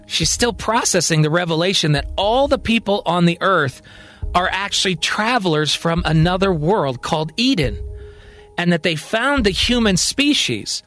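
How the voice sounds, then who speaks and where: A man's voice: high voice, fairly bright tone. A man narrating a scripted science-fiction drama as a storyteller. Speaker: storyteller and podcast host. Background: music.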